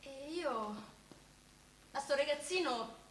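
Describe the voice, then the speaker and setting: A woman's voice: high-pitched, lively intonation, slow pace. An actress playing a tender, plain-spoken Roman working-class woman of the 1940s in a naturalistic dialect radio drama. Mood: troubled, hesitant. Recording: roomy echoing sound, faint noise.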